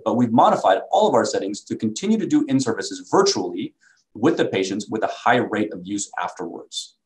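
A man with a fairly low voice presents on behalf of a medical device company. He talks through slides in a sales presentation style, speaking from an indoor video call setup. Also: rough recording.

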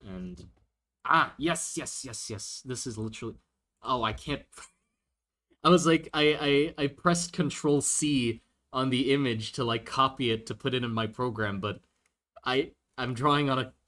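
A man's voice: mid-range voice, a little slow, fairly bright tone. A man chatting casually on a livestream while he draws, thinking aloud and answering viewer questions with many restarts. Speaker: streamer drawing a fantasy world.

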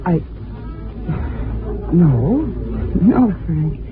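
Anxious radio-drama wife, muffled sound. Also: female voice, lowish voice, big pitch swings, drawn-out words, crowd noise, background noise, heavily acted. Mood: anxious, devoted. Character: ambitious, anxious, devoted wife. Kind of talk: 1950s radio drama acting. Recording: muffled old radio recording.

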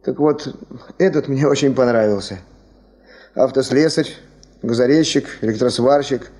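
An actor playing an experienced, good-natured male field hand in a 1970s radio drama, folksy and unhurried. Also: mid-range voice, darkish tone, lightly acted. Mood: good-natured, practical.

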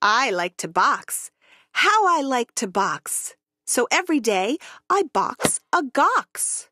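A woman narrating a rhyming children's picture book in an expressive, deliberate storytelling way. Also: a little high, dry recording.

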